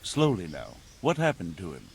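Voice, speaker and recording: An actor playing a grave, pious medieval healer monk in a video game, measured and solemn. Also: male voice, low-pitched, wildly swooping pitch, gravelly, exaggerated voice. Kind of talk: video game cutscene dialogue. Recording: faint ambient noise.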